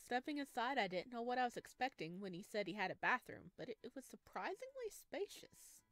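High-voiced woman gushing through a game playthrough. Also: brisk, dry recording. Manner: gushing, expressive. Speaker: streamer playing a visual novel. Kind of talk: game playthrough with read-aloud narration and excited reactions.

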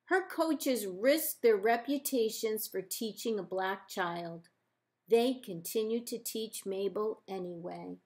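A woman librarian reading a children's picture book aloud in measured, deliberate phrases with pauses. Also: medium pitch, darkish tone. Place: indoors, book held up to camera.

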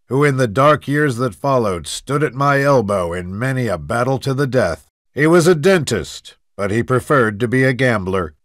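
A man playing a plainspoken Old West lawman in a dramatic reading, reminiscing in a measured, literary manner. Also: mid-range voice, a bit bright, no echo, studio quality. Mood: fond, reflective. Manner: measured, deliberate, literary storytelling. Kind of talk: dramatic reading of printed quotes.

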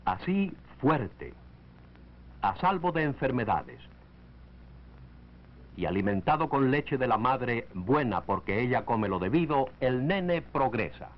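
A male narrator from a 1940s educational film, heard through a dull, lo-fi old soundtrack. His pitch is mid-range and moves in lively, animated swings. He reads scripted lines in a measured, instructive manner.

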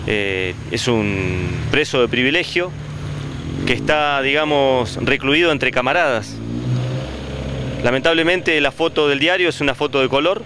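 A man's voice: medium pitch, noisy background. A man in his forties, a union leader giving a denouncing statement outdoors into a handheld mic. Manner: denouncing, measured. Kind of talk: press statement. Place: outdoors on a town street. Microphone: handheld reporter mic.

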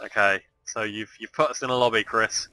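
Excitable bright-voiced English man. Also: on the low side, brisk. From England. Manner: excitable, loud bursts of shouting. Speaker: gaming channel co-host. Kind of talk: casual gameplay chat.

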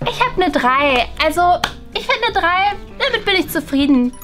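A woman voicing an excitable, nosy schoolgirl and gushing best friend in a children's play story, squealing and pushy. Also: very high voice, normal speed. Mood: curious, pushy. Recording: music behind the voice.

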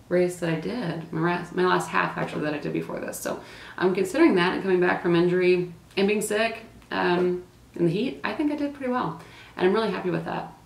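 Young woman vlogger, casual and rambling, with a creaky low voice in a roomy indoor space. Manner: chatty, lots of fillers and "like". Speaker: running vlogger. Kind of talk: casual race recap to camera. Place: small indoor room, plain wall.

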